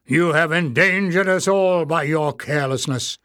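A man's voice: a little high, takes it slowly, fairly bright tone, clean tone, dry recording, studio quality, voice pushed up. Man playing a pompous, irritable old goblin official. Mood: imperious. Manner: stern, commanding, scolding.

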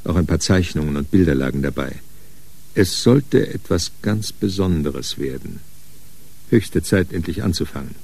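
A man narrating a radio crime drama in a sober, matter-of-fact, measured way. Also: low-pitched, lively intonation, gravelly, darkish tone, slightly rough, full character voice. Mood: wry, reflective. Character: sober narrator of a hard-boiled crime story.

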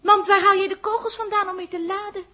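An actress playing a spirited, sharp-tongued female space traveller, teasing and indignant, in a 1960s Dutch radio drama. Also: extremely high pitch, steady tone, quick pace.

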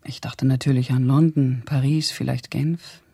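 A woman's voice: rumbling low, steady tone, moderate pace, creaky, warm tone, breathy edge, full character voice. An actress playing an educated young Russian woman who recalls events reflectively and precisely in a radio drama. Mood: wry. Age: young adult. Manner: reflective, precise, descriptive storytelling. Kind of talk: radio drama monologue as recollection.

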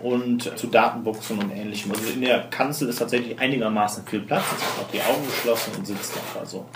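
A man's voice: lowish voice, steady tone, quick pace. A man running a tabletop role-playing game as game master, explaining scenes and often rephrasing himself mid-sentence. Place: indoor room with other players. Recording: roomy, noisy group recording with clicks.

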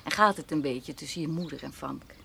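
An actress playing a nosy, dry-witted country neighbour who teases and questions in a radio play. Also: female voice, low voice, fairly quick, vocal fry, sharp tone, heavily acted. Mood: teasing. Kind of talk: Dutch radio play dialogue. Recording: noisy outdoor ambience, birds and insects.

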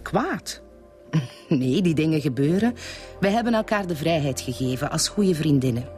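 A woman's voice: sits low, animated, moderate pace, a little mellow, faint music behind. An actress playing a composed, haughty criminologist in her thirties under police questioning in a radio drama. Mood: candid, resigned. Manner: cool, articulate, self-assured. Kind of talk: radio drama acting in Dutch.

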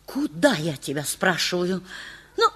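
A woman's voice: on the low side, sing-song, measured pace. A woman acting a fussy, easily wounded aunt in a radio play, plaintive and fretful. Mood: exasperated.

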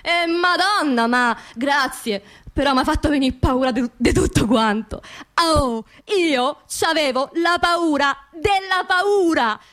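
A woman's voice: high-pitched, takes it slowly, a bit bright. An actress playing an anxious, grieving working-class woman in a theatrical radio monologue, with a Roman accent and an emotional, confiding manner. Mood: anxious, agitated. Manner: emotional, confiding, repeats words insistently.

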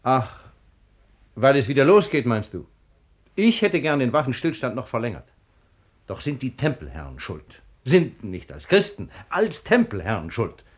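An actor playing a proud, careworn sultan in a 1950s German radio play, with declamatory stage diction. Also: male voice, medium pitch, very animated, normal speed. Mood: troubled, bitter. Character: proud, generous, careworn sultan, devoted brother.

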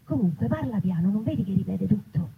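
A woman's voice: mid-range voice, expressive, fairly quick, gravelly, husky, pitched below the performer's own voice, exaggerated voice. A woman playing a practical, weary mother of a toddler, in a live reading. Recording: muffled live recording with noise.

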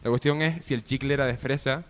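A man's voice: medium pitch, steady tone, fast talker. A man with a mid-range voice hosts a comic radio programme. He talks in casual group banter and keeps up a mock-serious manner. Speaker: radio show host.

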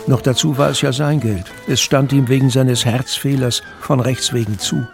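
A man's voice: medium pitch, steady tone, normal speed, gravelly. A man narrating a scripted, dramatic audiobook as a storyteller. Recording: music bed under narration.

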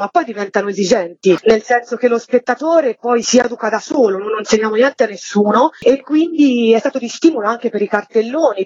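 A woman answers as an interview guest, explaining things in long, flowing detail. Her voice sits fairly high and has a husky grain. The recording is dry and somewhat dull and lo-fi. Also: darkish tone, no room sound. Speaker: theatre project organiser, interview guest. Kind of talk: spoken radio interview, explanatory.

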